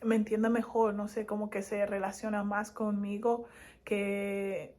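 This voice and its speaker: A young woman from Spain with a Madrid accent, talking casually to camera as a vlogger, animated and quick to laugh. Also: medium pitch. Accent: Madrid Spanish. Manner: animated and laughing, lively asides.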